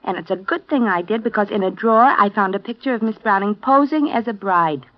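A woman's voice: medium pitch, fairly quick, close to an everyday voice. An actress playing a nosy, talkative woman who likes to gossip, in 1950s radio drama acting. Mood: confiding. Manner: chatty, confiding, gossipy. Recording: lo-fi old radio broadcast.

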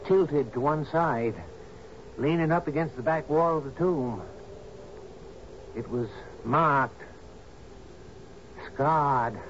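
An actor playing a captain's steady, deferential right-hand man in a 1970s radio drama, measured and soothing. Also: medium pitch, natural voice. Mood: grave. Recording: muffled, noisy 1970s radio broadcast.

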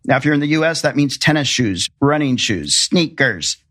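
A man's voice: mid-range voice, lively intonation, moderate pace, fairly bright tone. An American man hosting a podcast, moving between introductions and conversational interview questions.

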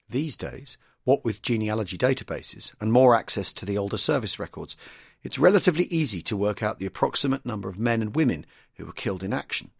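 Guy narrating a history podcast, raspy creaky voice, lots of up and down, dry studio sound. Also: mid-range voice, dry recording.

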